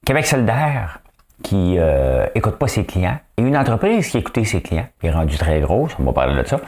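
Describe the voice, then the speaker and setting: Host, male, Quebec French accent, low voice with a lively, swinging delivery, solo chatty commentary. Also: normal speed. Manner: chatty, asks "hein?" often, joking asides. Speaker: solo online show host. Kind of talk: casual solo commentary show.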